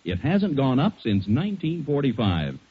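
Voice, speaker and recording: A man's voice: mid-range voice, sing-song, normal speed, husky. A man with an American accent announcing in polished, persuasive 1940s radio style, as scripted narration and advertising. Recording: muffled 1940s radio recording.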